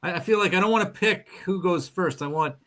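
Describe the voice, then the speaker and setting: A man's voice: sits high, quick pace, fairly bright tone. A man hosting a podcast in casual chat, laid-back as he leads the conversation into segments. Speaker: podcast host.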